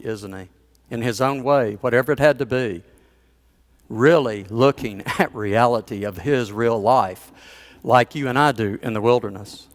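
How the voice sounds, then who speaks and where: Male pastor, conversational storyteller in the pulpit, chuckling asides, mid-pitched voice. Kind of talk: sermon.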